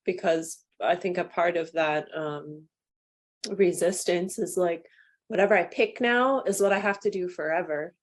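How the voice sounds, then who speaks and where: A young woman hosting a podcast, talking in a gentle, affirming way and laughing easily. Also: on the low side, a little slow. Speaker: podcast host. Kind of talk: casual conversation.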